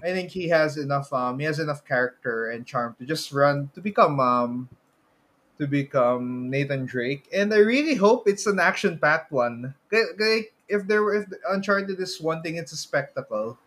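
A man talks casually as a podcast co-host. His voice sits a little high for a man. He speaks in a halting way, often repeating a word as he finds the next phrase. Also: animated, a bit bright. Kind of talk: casual chat about movies and games.

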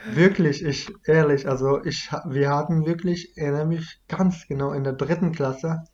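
A man's voice: on the high side, steady tone, moderate pace, darkish tone, highs cut off. Young man. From Iraq. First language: Kurdish. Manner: hesitant, many restarts and fillers. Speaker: interviewee. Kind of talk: casual conversation.

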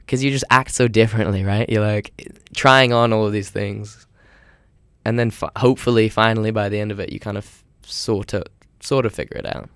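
A man's voice: on the low side, fairly even intonation, a little fast, fairly bright tone, clean tone, no echo, clean studio sound. A young man chatting casually in an easygoing, rambling way, with lots of "like" and "you know". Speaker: conversation partner. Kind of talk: casual chat.

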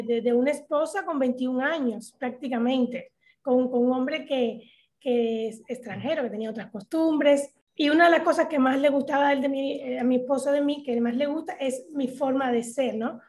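Cuban lady in her 30s on a video call, high voice, lots of uh and restarts. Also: a little mellow. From Cuba. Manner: chatty, halting with many fillers and restarts. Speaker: interview guest, social media comedian. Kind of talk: casual interview talk.

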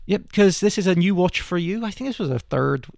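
A man's voice: high-pitched, lively intonation, fairly quick, gravelly, darkish tone, dry recording, studio quality. A man hosting a film podcast, talking in a casual, discursive style.

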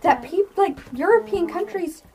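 A woman's voice: very high-pitched, expressive, normal speed, a crowd in the background. A woman chatting casually as a podcast host and game master, with a giggly, playful manner. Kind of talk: casual chat and tabletop game narrating.

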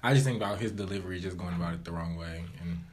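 A man's voice: low voice, rapid-fire, slight background noise. A man co-hosting a podcast in casual, slangy, sometimes profane conversation. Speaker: podcast co-host.

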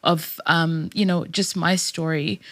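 Reflective low-voiced woman in her forties. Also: fairly even intonation, slow pace, no echo. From Zimbabwe. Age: 40s. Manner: reflective, many "you know" fillers. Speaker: guest speaker. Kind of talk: personal testimony.

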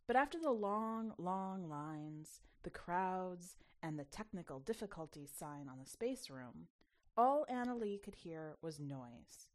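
A woman reading a short story as narrator, in an expressive scripted storytelling style. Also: lowish voice, slow pace. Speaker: podcast host and story narrator.

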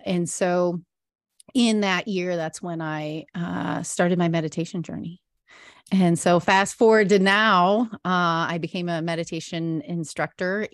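Middle-aged woman guest, earnest and warm-hearted talker, low pitch, dry booth sound. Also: no room sound. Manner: earnest, frequent ums, quick bursts. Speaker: podcast guest, meditation instructor. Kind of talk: casual interview chat.

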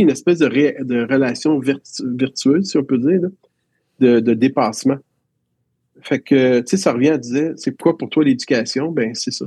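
A man's voice: mid-range voice, a little mellow, breathy edge, no echo. A man with a Quebec French accent, a teacher reflecting on his schooling in a casual interview, telling stories with frequent restarts. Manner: reflective, storytelling with many restarts.

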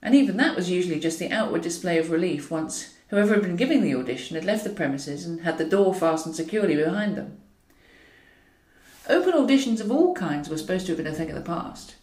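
Female audiobook reader for comic fiction: dry irony, animated pitch movement, recorded roomy and slightly distant. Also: fast talker. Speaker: author reading own novel. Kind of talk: scripted narration of a comic novel. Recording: roomy echo, distant from mic.

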